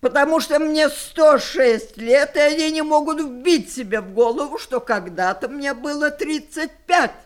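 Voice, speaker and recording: An older woman playing a mischievous, rebellious grandmother of great age in an audio play, with theatrical, emphatic delivery. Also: high-pitched. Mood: mischievous, proud. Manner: theatrical, emphatic, with drawn-out words. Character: spirited, rebellious 106-year-old grandmother, mischievous and sharp-tongued. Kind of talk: stage-style audio play acting.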